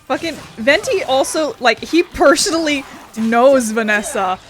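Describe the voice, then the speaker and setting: A woman's voice: sits high, lively intonation, a little slow, fairly bright tone, slight background noise. A woman giving casual, sweary let's play commentary, irreverent and half talking to herself as she plays. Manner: irreverent, sweary, muttering to self. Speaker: gaming video host. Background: faint game music.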